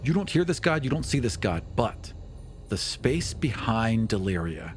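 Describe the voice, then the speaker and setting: A man narrating a tabletop roleplaying game as game master, with dramatic, deliberate phrasing. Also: fairly low pitch, sing-song, slight background noise. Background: music.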